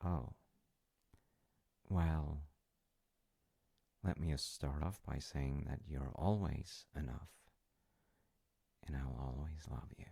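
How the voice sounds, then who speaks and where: A man playing a gentle, loving, wise dad in an intimate audio roleplay, speaking tenderly and hesitantly in short phrases with a gravelly, breathy voice. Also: extremely low pitch, slow, pauses often, no echo, exaggerated voice. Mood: tender, reassuring. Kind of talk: intimate audio roleplay, improvised-sounding storytelling.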